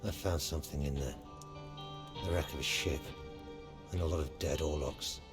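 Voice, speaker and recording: A gruff, extremely low-voiced bounty hunter for a game cutscene. He talks in curt, deadpan lines, hardened and unimpressed, with music behind him. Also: moderate pace. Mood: grim, reporting. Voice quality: gruff, very deep. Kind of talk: video game cutscene acting.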